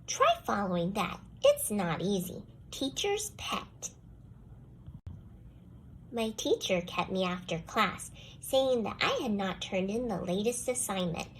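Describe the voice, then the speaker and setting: Animated schoolgirl storyteller, acted by a woman, noisy recording. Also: mid-range voice, slow. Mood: grumbling. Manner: expressive, complaining first-person storytelling. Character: jealous young schoolgirl, grudgingly softening toward the dog. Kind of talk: scripted children's storybook read-aloud.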